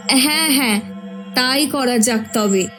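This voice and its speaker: A woman's voice: high voice, a little slow, a bit bright, soft background music. A woman voicing a caring, worried village mother in a horror cartoon, fussing over her children.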